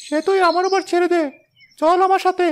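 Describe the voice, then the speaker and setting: Woman acting a very high-voiced scheming stepson. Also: flat delivery, fairly quick, slight background noise. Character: scheming adult stepson of a widow. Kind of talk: Bengali moral-story cartoon voice acting.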